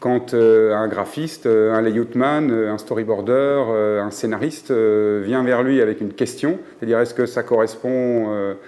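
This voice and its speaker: A man in an interview describing a director's role, pausing often with fillers. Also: lowish voice, fairly even intonation, darkish tone. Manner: hesitant, many fillers. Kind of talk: explanatory interview.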